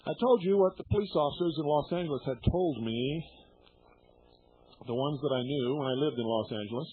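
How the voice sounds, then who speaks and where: A man's voice: a little high, a little fast, slightly rough, faint music behind. A middle-aged man hosting a radio show, talking bluntly and indignantly to his listeners and swearing freely. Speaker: radio host. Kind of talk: shortwave radio monologue. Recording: tinny shortwave radio broadcast.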